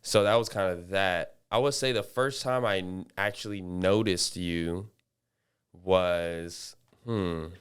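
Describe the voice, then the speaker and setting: Deep, smooth-voiced young man. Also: slow pace. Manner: rambling, many restarts and fillers. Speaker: podcast host. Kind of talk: casual chat.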